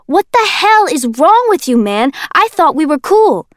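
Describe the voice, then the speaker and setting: A woman reading an audiobook voices a brash, joking young man who gets loud, crude and exasperated. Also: fairly high pitch, lively intonation, moderate pace, no room sound, clean studio sound, lightly acted. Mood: indignant. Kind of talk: audiobook character voice.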